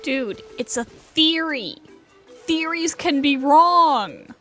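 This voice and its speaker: Female game streamer: high voice, casual and wry live reactions, light music behind. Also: lively intonation, slow pace, sharp tone. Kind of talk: casual livestream game commentary.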